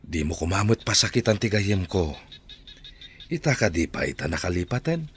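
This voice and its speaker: Earnest low-voiced Ilocano man, noisy track. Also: sing-song, moderate pace. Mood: earnest, consoling. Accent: Ilocano Filipino. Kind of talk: Filipino radio drama acting.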